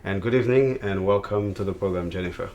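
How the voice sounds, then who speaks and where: A man's voice: low-pitched, fast talker, a little mellow, highs cut off, slight background noise. A man hosting a television interview program, presenting and asking questions in formal, wordy phrasing. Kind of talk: TV interview hosting and promo announcements.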